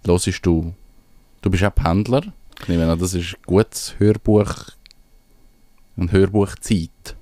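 A man's voice: sits low, fairly even intonation, slow, pauses often, warm tone, no room sound. A man with a Swiss German accent co-hosting a podcast in a casual, conversational way. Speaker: podcast co-host.

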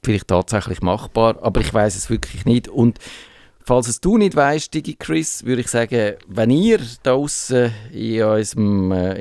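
A man's voice: on the low side, darkish tone, no echo, studio quality. A man with a Swiss German accent hosting a casual radio tech show, chatting and explaining listener questions. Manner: chatty, explaining, reads listener mail aloud.

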